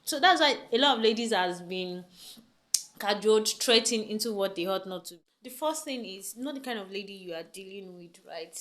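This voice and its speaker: A woman's voice: medium pitch, expressive, moderate pace, a bit bright. A young woman giving relationship advice to camera in a chatty, emphatic way, full of rhetorical questions. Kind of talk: casual talk to camera.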